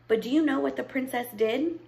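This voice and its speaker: A woman voicing a stern, knowing old grandmother who tells a fairy tale in a deliberate, probing way. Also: high voice, creaky, warm tone, pitched above the performer's own voice. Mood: measured storytelling. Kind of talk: storybook character voice.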